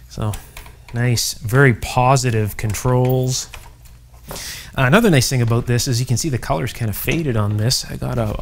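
A man's voice: mid-range voice, slight background noise. A middle-aged man hosting an informal workshop video, explaining things in an enthusiastic, conversational way. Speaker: host of an electronics restoration channel. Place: indoor electronics workshop.

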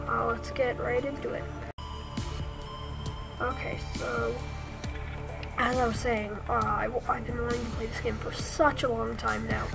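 Hoarse, whispery woman gamer. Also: a little high, low-quality recording. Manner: excitable, reacts aloud to the game. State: lost voice from illness, often whispering. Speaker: gaming channel host. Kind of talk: casual let's-play commentary. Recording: game music loud behind.

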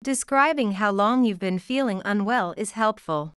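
Crisp, clear woman teaching phrases. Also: medium pitch, fairly bright tone, dry recording, studio quality. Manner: slow, clear reading of example phrases. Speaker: English teacher. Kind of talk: scripted lesson narration.

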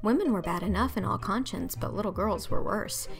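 Audiobook narrator: young woman, mid-pitched, scripted storytelling, faint music underneath. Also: brisk, vocal fry.

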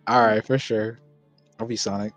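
A man's voice: on the low side, flat delivery, normal speed, fairly bright tone, soft background music. A young man chatting in casual slang while taking part in an amateur game fandub. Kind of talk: casual gaming chat, slangy.

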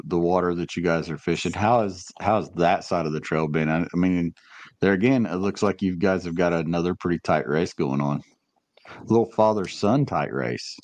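A man with a very low voice hosts a podcast and asks friendly questions. He explains the details at length. The sound is dry and close, with no room echo. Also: darkish tone. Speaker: podcast host. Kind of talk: casual podcast interview.

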